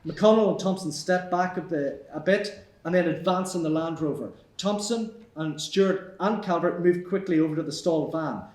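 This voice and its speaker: A man's voice: a little high, moderate pace, a little mellow. A man reading stage directions as scripted narration at a screenplay table read. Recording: echoey room.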